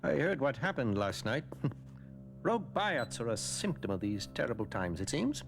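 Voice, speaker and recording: An actor playing an affable, musing professor in an animated series, philosophical and drawn-out. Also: male voice, mid-range voice, gravelly, over music.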